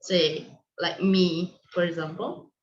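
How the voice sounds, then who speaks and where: A woman from Malaysia leads an online teachers' session, explaining the meeting rules in a friendly, instructive way with many ums and restarts. Her voice sits a little low. The call audio is dull and lo-fi, with a roomy echo. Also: steady tone, takes it slowly. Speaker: online session host and co-founder. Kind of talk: hosting a video call meeting. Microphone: video call microphone.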